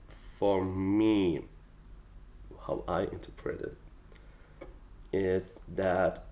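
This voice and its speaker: A man's voice: low voice, a little mellow. A man whose first language is German, talking through his draft song lyrics in a casual, hesitant and thoughtful way with many fillers. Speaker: hobby songwriter. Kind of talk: casual explanatory vlog.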